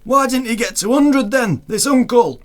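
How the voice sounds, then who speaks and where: Very high-voiced grumbling bloke, acted by a man. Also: animated, normal speed, a bit bright. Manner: terse, grumbling, colloquial. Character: Emphysemic Eric, grumbling smoker, terse working-class husband.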